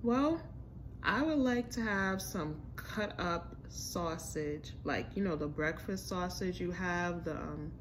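Young adult woman, American accent, low pitch, casual slangy vlog chat, slight background noise. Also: animated, takes it slowly. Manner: informal, chatty, slangy. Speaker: food vlogger. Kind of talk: casual chat, restaurant review. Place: indoors in a home room with a staircase.

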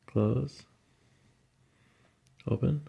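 Gravelly, creaky-voiced male chiropractor. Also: mid-range voice, extremely slow, dark tone, dull audio. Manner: instructive, explains each step. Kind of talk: hands-on clinical talk to a patient. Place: small carpeted clinic office, indoors.

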